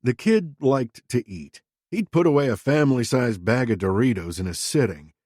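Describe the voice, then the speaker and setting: A man's voice: mid-range voice, lively intonation, moderate pace, darkish tone, no echo, studio quality, lightly acted. A man voicing a crude, defensive middle-aged father who talks in a blunt, profane, self-justifying way. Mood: gruff, candid.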